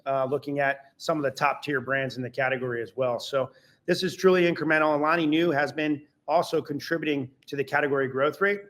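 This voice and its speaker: Exec guy on an earnings call, voice a bit high for a man, upbeat corporate talk. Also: fairly bright tone. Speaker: company executive answering analysts.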